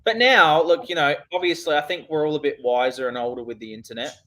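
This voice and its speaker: Casting: higher-voiced chatty man, casual call chat. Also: fast, fairly bright tone. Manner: chatty, uses "you know" a lot.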